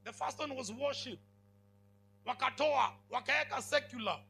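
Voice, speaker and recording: Kenyan male preacher, high-pitched and bright, commanding, emphatic call-and-response delivery. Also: moderate pace, creaky, breathy edge. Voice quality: big commanding voice. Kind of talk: sermon to students.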